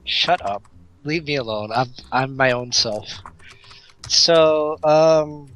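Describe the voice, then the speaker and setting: A man chatting casually as a tabletop game player, flustered and halting. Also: medium pitch, fairly bright tone. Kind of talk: casual chat. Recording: faint noise, clicks and typing.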